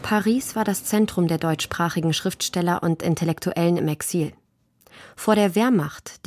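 A woman's voice: fairly low pitch, darkish tone, no room sound, studio quality. A woman reading scripted narration as a radio documentary narrator.

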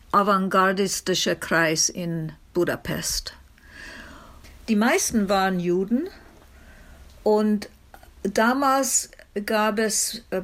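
A woman giving a halting, explanatory account as an expert interviewee. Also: medium pitch.

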